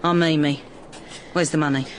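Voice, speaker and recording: An actress playing a wary, hostile London prostitute in a British radio drama, curt and suspicious. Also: female voice, deep voice, fairly even intonation, moderate pace, a little hiss or hum, lightly acted.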